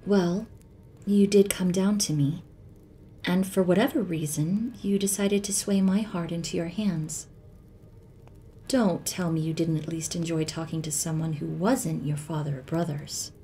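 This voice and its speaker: Casting: actress as captive moon goddess, sly and taunting, dark soft tone, very slow sardonic delivery, ASMR roleplay. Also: female voice, fairly even intonation, lightly acted. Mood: teasing. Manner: teasing, sardonic, composed, breathy asides. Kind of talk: ASMR roleplay audio drama. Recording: faint noise, low rumble behind voice.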